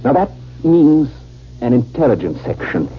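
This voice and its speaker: An actor playing a nervous, formal army surgeon in a 1950s radio drama. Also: male voice, medium pitch, sing-song, measured pace, a little hiss or hum. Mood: anxious. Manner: anxious, formal, emphatic repetition. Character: nervous male army surgeon, secretly an enemy agent. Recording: muffled, band-limited 1950s radio recording.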